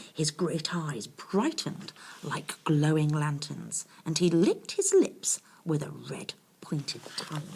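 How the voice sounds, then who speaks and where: A woman's voice: low-pitched, a little hiss or hum, rough recording. A woman reading a children's bedtime story expressively, with confiding asides to her young listeners.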